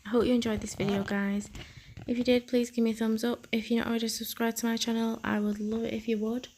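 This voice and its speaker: A young woman, a nail technician, narrating a tutorial in a soft half-whisper with a slightly croaky voice. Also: on the high side, flat delivery, fast, slight background noise. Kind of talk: tutorial voice-over.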